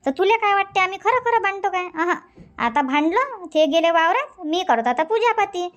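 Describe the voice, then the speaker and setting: A woman voices a bickering, loving village wife for a comedy cartoon. Her voice is high, her Marathi is rural Vidarbha, and she talks in an emphatic, nagging, chatty way. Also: expressive, moderate pace, a bit bright, highs cut off. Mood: chatty, explaining. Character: bickering but loving Indian village wife and mother-in-law. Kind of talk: cartoon comedy dub dialogue.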